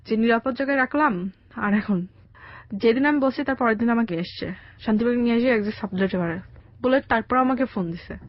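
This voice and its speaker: Young bangladeshi girl, adult, telling her story on radio, highish voice, slightly dull lofi sound. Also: dry recording. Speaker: interview guest telling her life story. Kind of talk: personal account in colloquial conversation. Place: radio studio.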